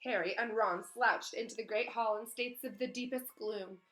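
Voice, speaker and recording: A woman with a fairly high voice reads a children's book aloud. Her delivery is that of a teacher, expressive and addressed to young listeners. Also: normal speed, faint music behind.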